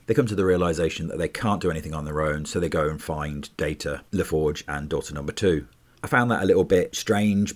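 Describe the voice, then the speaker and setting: A man giving a casual spoken review of a TV episode. His voice is stuffy and congested, and he talks in a chatty, measured way with small restarts. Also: low-pitched, a little mellow.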